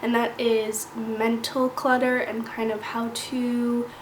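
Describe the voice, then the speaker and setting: A woman's voice: a little high, flat delivery, slow pace. A woman vlogger sitting down to chat to camera, a little nasal from a cold, earnest and explaining things step by step. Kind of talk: casual sit-down talk to camera. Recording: echoey room with faint hiss.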